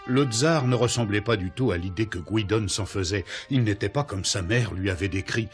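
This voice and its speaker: A man's voice: on the low side, moderate pace, lightly acted. A man narrating a fairy tale cartoon as a scripted storyteller. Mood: solemn storytelling. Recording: music behind the voice.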